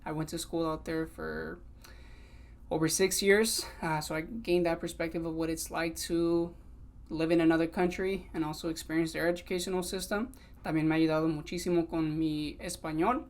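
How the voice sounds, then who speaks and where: A man's voice: fairly high pitch, flat delivery, normal speed. Earnest young male podcast host. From Sacramento, California, also raised in Mexico. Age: 20s. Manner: earnest, conversational, switches between English and Spanish. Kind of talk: solo podcast monologue.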